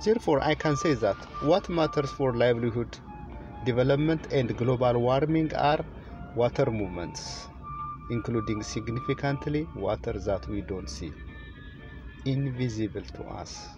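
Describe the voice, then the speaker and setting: A middle-aged man from Ethiopia with an Ethiopian accent gives a formal, deliberate prepared speech to camera inside a car. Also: mid-range voice, over music. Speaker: presenter, children's author.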